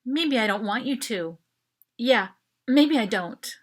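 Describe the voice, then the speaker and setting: A woman's voice: fairly high pitch, lively intonation, slow pace. A woman voicing a headstrong teenage girl in a short story, direct and a little defiant. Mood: annoyed. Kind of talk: dramatic short story reading.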